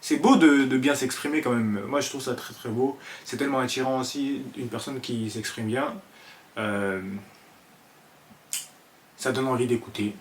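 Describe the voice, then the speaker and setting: A man in his twenties from Ivory Coast with an Ivorian French accent, talking to camera in an earnest, halting way full of fillers. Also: mid-range voice, slight background noise. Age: 20s. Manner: hesitant, many fillers and restarts, earnest. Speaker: vlogger. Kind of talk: casual talk to camera.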